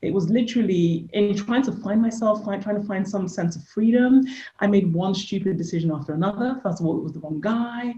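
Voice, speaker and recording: A woman's voice: mid-range voice, a little fast. A woman in her forties from Nigeria with an English accent, a podcast guest openly and reflectively telling her life story. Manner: reflective, open, warm storytelling with soft laughs. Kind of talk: candid life-story interview talk. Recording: echoey room, dull lo-fi sound.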